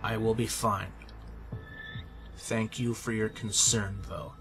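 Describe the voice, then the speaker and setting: A man's voice: fairly low pitch, fairly even intonation, takes it slowly, over music. A man playing a weary, stubborn company head in an audio drama, terse and authoritative.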